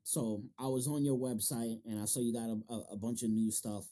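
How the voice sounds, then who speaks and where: A man with a very dark, relaxed voice hosts a casual chat. His pitch sits in a middle range for a man. He talks loosely, full of slang and curse words. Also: brisk. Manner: slangy, casual, swearing freely. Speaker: podcast interviewer and manga reviewer.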